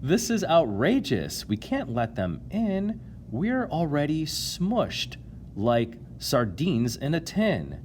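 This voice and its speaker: A man voicing an excitable, worry-prone male passenger in a children's storybook, exclaiming emphatically. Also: on the high side, slow pace, slight background noise, heavily acted. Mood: indignant protest.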